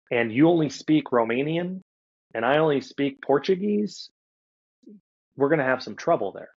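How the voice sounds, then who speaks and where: A man giving live mindset coaching, speaking emphatically and instructively straight to his listener, with a gravelly, slightly husky voice. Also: medium pitch, lo-fi sound. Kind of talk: live one-to-one coaching talk.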